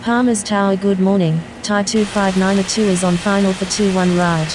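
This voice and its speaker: A woman's voice: mid-range voice, normal speed. A woman in the role of an airline pilot, making formulaic calls over aviation radio. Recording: steady radio hiss over voice.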